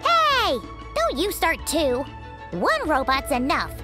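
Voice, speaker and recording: A woman's voice: high-pitched, huge pitch swings, slow, over loud music, full character voice. An actress voicing a tiny, chirpy, childlike female fairy companion in a video game, candid, exclamatory and friendly. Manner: exclamatory, childlike, explains things simply. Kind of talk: scripted video game dialogue.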